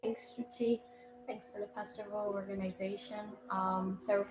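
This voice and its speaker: A woman in her early twenties speaks with a Brazilian accent in an informal presentation. Her phrasing is halting as she looks for words. The room is strongly echoey, with noticeable noise behind her. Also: mid-range voice, fairly even intonation. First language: Portuguese. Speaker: poet and student speaker.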